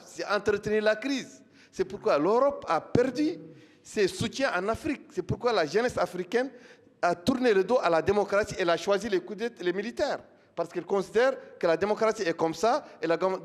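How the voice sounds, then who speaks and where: A man from Mauritania speaking in a panel discussion, emphatic and repeating phrases for force. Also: sits high. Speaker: political party founder, panelist. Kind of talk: impassioned panel speech. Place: large conference hall. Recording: long hall reverb.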